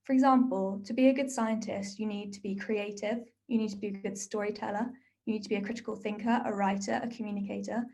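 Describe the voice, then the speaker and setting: A young woman talks earnestly and thoughtfully, pausing now and then to pick her words. Her voice sits in a middle pitch. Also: fairly even intonation, vocal fry, darkish tone. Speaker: neuroscientist storyteller. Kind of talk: prepared talk, then answering a question.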